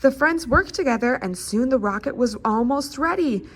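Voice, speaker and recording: High-voiced American woman hosting children's storytime. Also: expressive, moderate pace. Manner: encouraging, addresses young children as friends.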